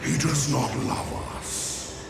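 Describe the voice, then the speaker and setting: An actor playing a bitter, mocking, godless villain with a gravelly voice and slow, theatrical delivery. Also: male voice, mid-range voice, expressive, heavily acted. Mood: bitter, taunting. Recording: echoey, loud music underneath.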